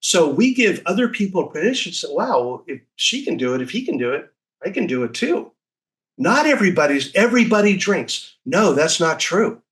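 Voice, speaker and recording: A man's voice: sits high, very animated, normal speed, fairly bright tone, poor audio. A man speaking as an interview guest in an enthusiastic, conversational storytelling way, now and then acting out short quotes.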